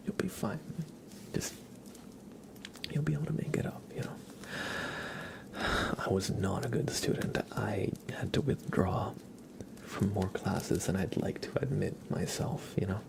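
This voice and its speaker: A young man hosting a relaxed ASMR livestream, mostly whispering and speaking softly in a reassuring, encouraging way. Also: lowish voice, slow, creaky, pauses often. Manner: soft-spoken, mostly whispering. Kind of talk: relaxed ASMR, chatting with viewers and reading tarot cards.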